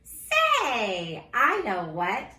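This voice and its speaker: A woman reads a picture book to children with big, swooping expression and a bright, forceful voice. She takes her time and plays up the silliness. The room rings a little around her. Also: a little high, drawn-out words. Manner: silly, theatrical, expressive. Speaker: teacher reading story time. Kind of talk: animated children's storybook read-aloud. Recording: roomy echo with faint background noise.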